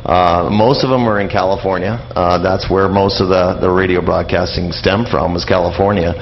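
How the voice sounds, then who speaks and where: Low-voiced rambling man, slightly muffled. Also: steady tone, creaky. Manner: halting, rambling explanations. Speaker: interview guest, old-time radio enthusiast. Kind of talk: casual conversational explanation. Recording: muffled audio with faint background noise.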